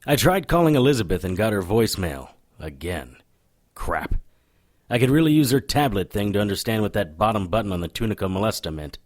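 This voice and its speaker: A man's voice: lowish voice, sing-song, brisk. A man playing a cocky, wisecracking occult private investigator in an audio drama, wry and irreverent in noir style. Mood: frustrated. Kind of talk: audio drama dialogue and first-person narration.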